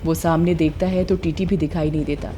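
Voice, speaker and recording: A woman's voice: sits low, fairly even intonation, brisk, mellow, a little hiss or hum. A woman narrating a scripted horror story as the storyteller. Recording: music behind voice.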